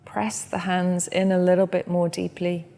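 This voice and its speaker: A woman who teaches yoga, leading a guided meditation in a soft, gentle, unhurried way. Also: fairly low pitch, completely flat delivery, vocal fry, long reverb tail. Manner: soft, slow and gentle guidance.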